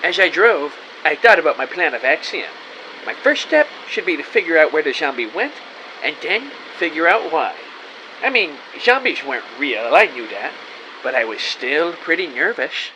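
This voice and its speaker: Man as an earnest, higher-pitched rookie detective narrator. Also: very animated, moderate pace, background noise. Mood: uneasy. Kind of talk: first-person scripted audio drama narration.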